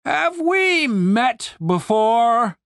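A narrator voicing a stern, righteous male commander with a booming, formal and pompous manner in an audiobook. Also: very animated, a little slow, a bit bright, no room sound, clean studio sound.

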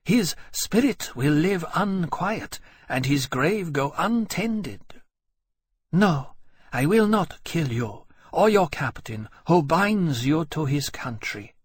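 A man voicing a cool, disdainful female dragon who speaks slowly and bitterly. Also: fairly high pitch, expressive, slightly rough, no echo, clean studio sound, pitched above the performer's own voice, exaggerated voice. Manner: cool, contemptuous, slow and measured.

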